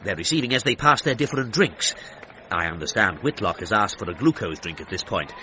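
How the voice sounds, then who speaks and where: An actor playing an excitable 1930s British sports commentator with a British accent, calling a race for radio in a clipped, descriptive way. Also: male voice, lowish voice, a little fast. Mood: brisk. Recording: dull noisy vintage-style radio broadcast.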